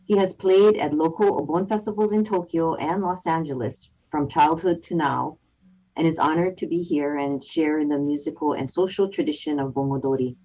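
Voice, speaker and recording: A woman's voice: low-pitched, normal speed, dark tone, dull audio. A woman moderating an online panel, thanking a speaker and reading out the next guest's introduction.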